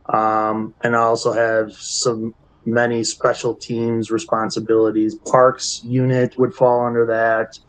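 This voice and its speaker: A middle-aged man with a Wisconsin accent, a chief deputy sheriff giving short formal sworn answers over a video call, his voice a little raspy from talking all day. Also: mid-range voice, poor audio.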